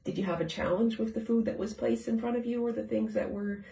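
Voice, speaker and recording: A congested, stuffed-up woman hosts a casual live stream from her home kitchen, chatting with viewers and firing questions at them. Her voice sits at a middling pitch and comes across from some distance. The room around her rings with a noticeable echo. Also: fairly even intonation, fast talker, creaky, mellow, slight background noise. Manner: conversational, keeps asking viewers questions. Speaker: host of a live nutrition show. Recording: echoey room, far from the mic.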